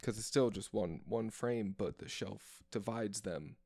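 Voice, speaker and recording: Man, low gravelly voice, dry close sound, casual film chat. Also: a little slow, no echo. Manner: relaxed, describes shots and framing in detail. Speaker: podcast co-host.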